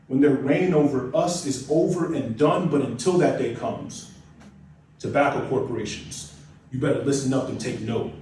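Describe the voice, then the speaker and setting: A man's voice: mid-range voice, darkish tone. Echoey, distant American man reciting spoken word. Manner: dramatic, deliberate phrasing with emphatic repetition. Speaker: spoken word poet, nursing student. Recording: echoey room, distant mic, faint noise.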